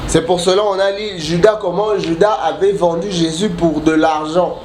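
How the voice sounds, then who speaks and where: A man preaching a sermon while he has a cold, halting and restarting often, then building emphatic repetitions. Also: high voice, fairly even intonation, over a noisy crowd. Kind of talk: sermon mixing French and Lingala. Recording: echoey room with faint ambient noise.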